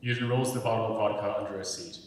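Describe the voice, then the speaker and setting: A man's voice: lowish voice, fairly even intonation, quick pace. A man reading stage directions as scripted narration, heard from far away in an echoey hall. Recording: echoey hall, far from mic, faint noise.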